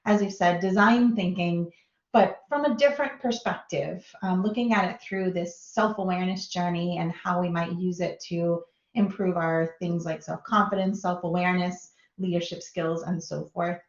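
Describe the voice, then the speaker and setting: Minnesota woman lecturer, upbeat and chatty, gravelly edges, over a video call with an echoey, lo-fi sound. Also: lowish voice, steady tone, normal speed. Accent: Minnesota American. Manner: chatty, upbeat, laughs often. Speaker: guest lecturer. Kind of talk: online lecture.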